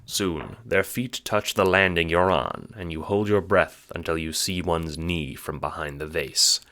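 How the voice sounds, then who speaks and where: Brief: male audiobook narrator, deep and bright-edged, wry, dramatic delivery of a scripted story. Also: a little slow.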